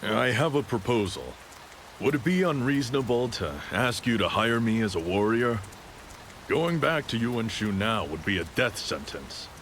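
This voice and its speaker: An actor playing a blunt, resigned warrior for hire in a video game cutscene, speaking in a dry, frank way. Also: male voice, mid-range voice, expressive, normal speed, a bit bright, a little hiss or hum. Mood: frank, bargaining.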